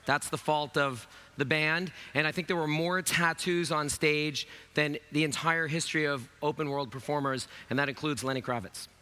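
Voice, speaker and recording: A man in his fifties with a raspy voice, hosting a conference keynote in a loose, chatty way with many fillers. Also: a little high, steady tone, moderate pace, hardly any pauses, sharp tone. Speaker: keynote host. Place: large conference stage.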